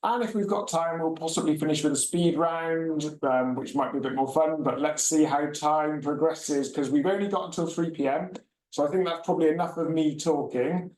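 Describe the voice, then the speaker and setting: A man's voice: on the high side, normal speed, a little mellow, a little hiss or hum. A man hosting a university webinar panel, friendly and chatty with many filler sounds. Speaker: host, university regional manager. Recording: echoey room, mic at a distance.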